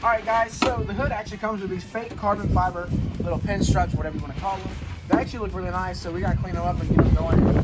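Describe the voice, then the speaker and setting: The American guy with the high voice in a homemade car-project video, chatting casually to viewers with music playing clearly behind him. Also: steady tone, fast talker, low-quality recording. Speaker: vlog host.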